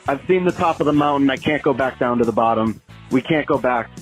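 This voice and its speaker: A man's voice: mid-range voice, a little fast. A man leaving an impassioned, repetitive fan voicemail. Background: music.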